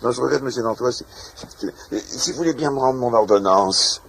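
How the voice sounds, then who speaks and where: A man's voice: mid-range voice, a little fast, lightly acted, low-quality recording. An actor playing a polite, embarrassed man in a radio comedy drama, hesitant, apologetic and stammering as he tries to excuse himself. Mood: reluctant. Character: polite, embarrassed man trying to get away. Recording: clear background ambience noise.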